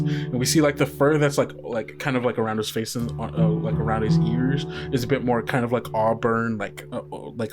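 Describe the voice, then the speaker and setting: A man narrating an improvised tabletop game scene as game master, talking in a halting, conversational way as he pieces images together. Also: medium pitch. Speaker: game master of an actual-play podcast. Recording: guitar music under the voice. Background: plucked guitar music.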